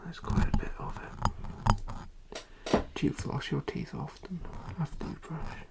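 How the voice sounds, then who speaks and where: A person whispering slowly and soothingly in a breathy, creaky voice as a dentist instructing a patient in an ASMR roleplay. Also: mid-range voice, steady tone. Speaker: ASMR creator playing a dentist. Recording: lo-fi close-up ASMR recording.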